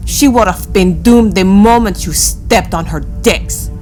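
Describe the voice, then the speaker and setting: A woman's voice: medium pitch, measured pace, darkish tone, faint music behind, close to an everyday voice. A woman playing a proud, defiant fleet admiral in a space audio drama, stern and scornful.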